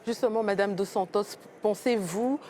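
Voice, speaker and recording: A woman moderating a panel debate, asking questions slowly and haltingly. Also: medium pitch, dark tone, slight background noise.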